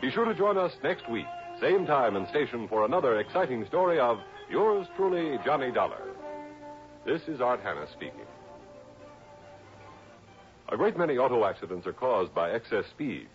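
A man's voice: mid-range voice, big pitch swings, normal speed, over music. An American man reading scripted announcements in a 1960s radio broadcast style. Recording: muffled vintage radio recording.

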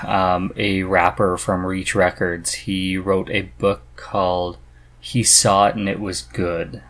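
A man hosting a podcast, chatting casually and haltingly as he thinks aloud. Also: low-pitched, little pitch movement, a bit bright. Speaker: podcast host. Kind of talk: casual conversation about reading.

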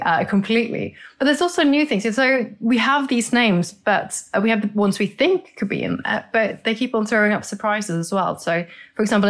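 A middle-aged woman from Norway, speaking as an expert guest in a conversational interview and explaining with lively enthusiasm. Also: medium pitch. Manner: enthusiastic, explanatory, repeats words.

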